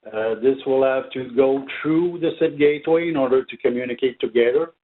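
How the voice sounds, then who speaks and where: A man giving an unscripted technical product presentation, speaking haltingly with very frequent filled pauses. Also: mid-range voice. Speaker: product trainer presenting for a company. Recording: narrow, tinny phone-like line.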